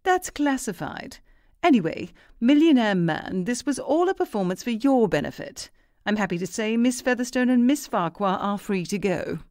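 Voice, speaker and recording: A woman playing a brisk, authoritative, secretive agent in a comedic audio drama. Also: a little high, lively intonation, a little mellow, no echo, studio quality, natural voice. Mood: coolly commanding.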